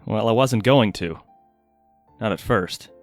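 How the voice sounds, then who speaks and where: A man's voice: fairly low pitch, slow, faint music behind. An actor playing a guarded, wry male mage inventor in an audio drama, speaking hesitantly and intimately. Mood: guarded, earnest.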